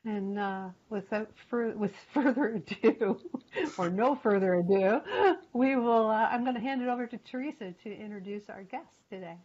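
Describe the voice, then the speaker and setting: A woman host rambles through her opening with many fillers. Her voice sits in an ordinary middle pitch. The audio is dull and lo-fi, like a video call. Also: moderate pace, darkish tone. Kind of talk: show opening chat.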